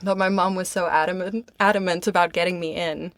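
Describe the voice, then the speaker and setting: A woman's voice: fairly low pitch, fairly even intonation, a little fast, dry recording. A woman who partly grew up in South Africa, a podcast guest chatting casually and telling personal stories. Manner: chatty, quotes dialogue as she tells stories.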